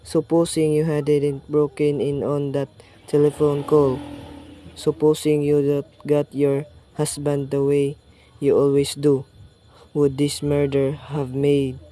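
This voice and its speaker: Man acting a monotone, weary police sergeant. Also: on the high side, slow. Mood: weary, patronizing. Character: weary, dismissive male police desk sergeant. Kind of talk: radio play acting.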